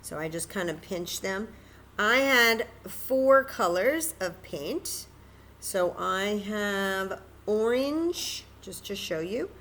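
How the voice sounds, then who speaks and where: A woman's voice: mid-range voice, expressive, takes it slowly, fairly bright tone, slight background noise. A woman hosting a children's story time, patiently and encouragingly demonstrating a craft step by step in simple, casual words.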